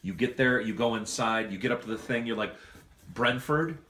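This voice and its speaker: Male writer, deeper voice, animated casual pitching of story beats, roomy acoustic with faint noise. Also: fast talker. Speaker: writer at an audio comedy script session. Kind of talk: casual brainstorming, acting out dialogue. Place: indoor room, writing session. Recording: echoey room with faint noise.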